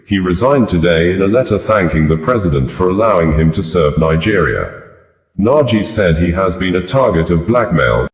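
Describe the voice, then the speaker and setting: A man with a very low voice reads scripted news. His delivery is formal and deliberate, carried through long sentences. The sound is roomy, with clear echo around the voice. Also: darkish tone. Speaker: news reader.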